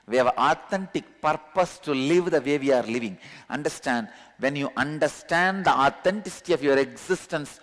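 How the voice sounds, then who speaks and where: A man's voice: on the high side, fairly bright tone. A man with a Tamil accent giving a spiritual discourse as a teacher, speaking earnestly and repeating key words for emphasis. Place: large satsang hall. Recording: long reverb of a large hall.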